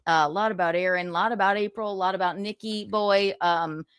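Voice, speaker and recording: A woman's voice: medium pitch, fairly even intonation, a little fast, fairly bright tone, smooth, no room sound, clean studio sound. A woman livestreaming, switching between casual chat and reading book passages aloud, with gloating, sarcastic, theatrical emphasis. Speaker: streamer.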